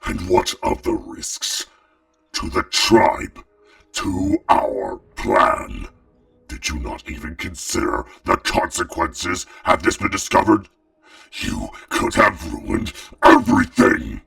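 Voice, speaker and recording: A menacing male dragon in an audio drama, stern and betrayed. The voice is low and heavily gravelled, dragging out words very slowly. Anger gives it a growling weight. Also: wildly swooping pitch, fairly bright tone, dry recording, far from the mic, faint music behind, heavily acted. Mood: angry, accusing.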